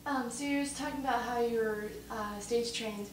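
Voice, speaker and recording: Soft-spoken woman interviewer, far off. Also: fairly high pitch, moderate pace. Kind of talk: convention interview. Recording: echoey room, far mic, noisy.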